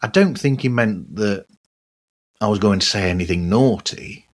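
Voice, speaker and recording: A man's voice: on the low side, moderate pace. A man hosting a podcast monologue in a personal style, dropping wry, sardonic asides as he goes. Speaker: podcast host.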